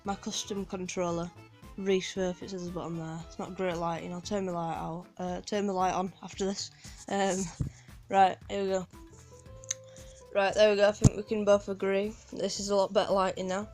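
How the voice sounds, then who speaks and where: A woman's voice: mid-range voice, little pitch movement, moderate pace, a little mellow, soft background music. A woman with a Yorkshire accent gives a rambling, casual walkthrough of a game from home, full of ums and restarts. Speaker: gaming guide creator.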